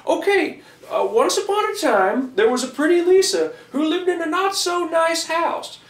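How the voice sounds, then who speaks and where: A man's voice: very high voice, expressive, moderate pace. A man voicing a chatty ventriloquist puppet who tells stories to children in an exaggerated, singsong puppet-show delivery. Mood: eager storytelling. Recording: roomy, distant mic, faint noise.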